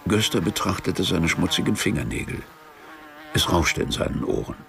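A male actor narrating a literary radio play in a measured, detached way, as a storyteller with dry irony. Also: sits low, gravelly, a bit bright.

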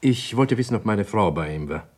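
An uneasy, guarded doctor with a fairly low, husky voice. He speaks haltingly and evasively, in the manner of a radio crime drama. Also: lively intonation. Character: uneasy male doctor, guarded jealous husband.

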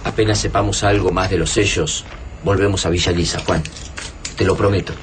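An actor with an Argentine Rioplatense accent playing a calm, reassuring planner of an expedition in a radio drama. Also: male voice, sits low, a little fast, lightly acted. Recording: noisy radio drama mix.

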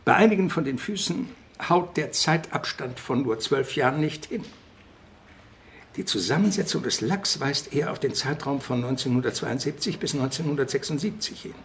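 An actor playing a dry, precise, grumbling police deputy in a German radio crime drama. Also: male voice, medium pitch, normal speed, fairly bright tone, slight background noise, close to an everyday voice. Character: erudite, grumbling, wine-drinking police deputy.

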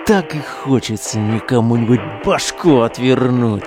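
An actor playing a hot-tempered, crude young neo-Nazi relic digger in an audio drama, brash, slangy and sneering. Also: male voice, medium pitch, measured pace, over music, lightly acted. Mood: sullen, bragging.

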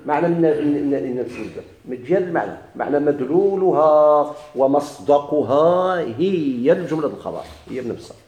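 A man with a Moroccan Arabic accent teaching Arabic grammar. He explains slowly, repeats and stretches key words, and puts questions to his students. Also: a little high, animated, a little mellow, dull audio. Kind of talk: recorded grammar lesson. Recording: echoey classroom recording.

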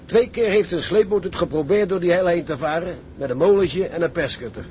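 Blunt sceptical seaman played by a man, higher-pitched. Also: a little fast, a little mellow, slight background noise, close to an everyday voice. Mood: grave, practical. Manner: blunt, dry, doubting. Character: blunt, sceptical seaman officer, practical and wry. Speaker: actor in a radio drama. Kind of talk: Dutch audio drama acting.

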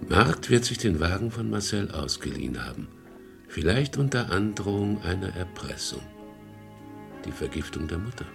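A probing man's voice of suspicion in a radio crime drama. It is deep, insinuating and very animated in pitch, with music underneath. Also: moderate pace, vocal fry, exaggerated voice.

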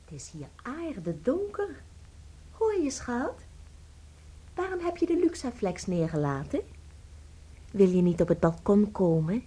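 A woman acting in a radio play as an adoring, excitable wife, cooing and theatrical. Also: mid-range voice, a little slow, long pauses, breathy edge. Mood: dreamy, intimate. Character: adoring, gushing wife, tender and excitable, babying her tiny husband.

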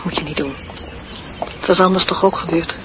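A woman playing a gently resigned widowed mother. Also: lowish voice, a little fast, natural voice. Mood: calm, reassuring. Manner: soft, calm, reassuring. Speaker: mother in radio play. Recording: noisy old radio drama recording.

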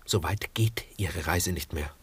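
A man's voice: sits low, measured pace, a bit bright, husky, full character voice. A young man acting in a 1960s German radio drama as a grave, resolute son with an earnest, restrained and formal manner. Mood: grave, accusing.

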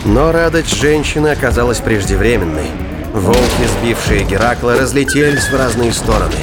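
A man's voice: medium pitch, expressive, normal speed, very noisy. A man reading scripted dramatic narration for an audio drama. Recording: loud music and effects behind. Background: music, sound effects.

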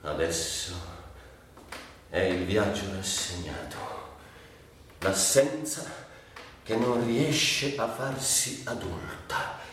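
A man's voice: sits low, expressive, extremely slow, long pauses, fairly bright tone, heavily acted. An actor playing a bitter, crude grown son trapped by his mother's hold, in a sardonic, volatile radio monologue. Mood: resentful, resigned. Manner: sardonic, vulgar, volatile delivery with sudden swells. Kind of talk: theatrical radio drama monologue. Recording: very echoey, distant, faint background noise.

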